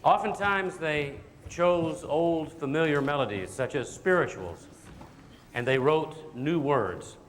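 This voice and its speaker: A man hosting a live stage show, introducing songs in measured, announcer-like phrasing. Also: fairly high pitch. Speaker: host of a school stage show. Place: large indoor hall, live show. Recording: echoey hall, noisy live stage recording.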